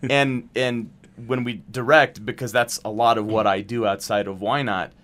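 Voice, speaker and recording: A man with a mid-range voice, talking as a creative guest in an interview. He is chatty and animated, explaining things in a casual conversational way. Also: medium pitch, normal speed. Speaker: show creator, director and actor, interview guest.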